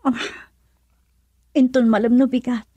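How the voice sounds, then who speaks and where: An anxious, peacemaking wife in an Ilocano radio drama. Her voice is high and earnest, captured in a dry studio. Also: steady tone, unhurried, warm tone, slightly rough, dry recording, dull audio. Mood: anxious, pleading. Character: anxious wife mediating between father and husband.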